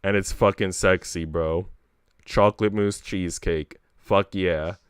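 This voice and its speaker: A man with a fairly low, resonant voice chats on his livestream in a very dry recording. He rambles casually, leaning on slang and swearing freely as he goes. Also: fairly even intonation, measured pace, clean tone, no echo. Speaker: streamer reading a visual novel aloud.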